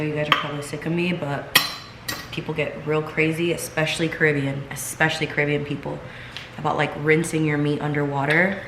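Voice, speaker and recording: A young woman talking casually to her viewers in a chatty vlog, sounding congested from illness and using lots of fillers. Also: low voice. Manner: chatty, filler-heavy, talks straight to viewers. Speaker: vlogger. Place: home kitchen, then seated at home. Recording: echoey room with noticeable background noise.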